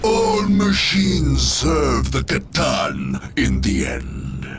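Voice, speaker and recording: An actor playing an ancient, malevolent undead machine lord in a video game, declaiming in a grandiose, contemptuous and ominous way. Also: male voice, mid-range voice, huge pitch swings, noisy background, exaggerated voice. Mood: menacing, scornful. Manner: slow, ominous, portentous declaiming. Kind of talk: theatrical video game villain acting.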